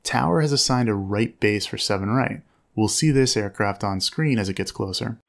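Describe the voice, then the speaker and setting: A man giving measured, instructive commentary on air traffic audio as a narrator. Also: on the low side, creaky.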